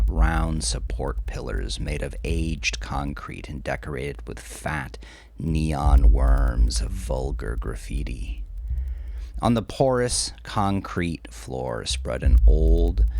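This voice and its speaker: A man's voice: extremely low pitch, vocal fry, a little mellow, dry recording. A man narrating crime fiction as a dramatic scripted audiobook.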